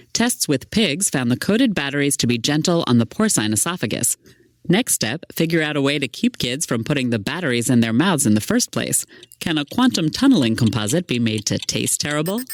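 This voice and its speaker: A man's voice: a little high, dry recording, clean studio sound. A man reading a scripted science report as a narrator.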